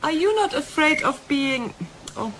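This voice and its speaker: An actress playing a polite young German woman with a German accent, speaking halting English in a radio play. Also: sits high, expressive, noisy background. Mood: polite, hesitant. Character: shy, polite young German daughter of yacht owner.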